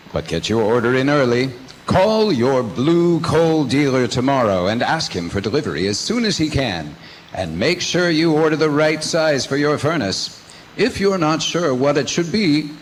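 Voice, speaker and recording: Animated vintage radio pitchman, a man. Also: in a large hall, slight background noise, lightly acted, poor audio. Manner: persuasive, instructive. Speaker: commercial announcer. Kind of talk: 1940s radio advertising.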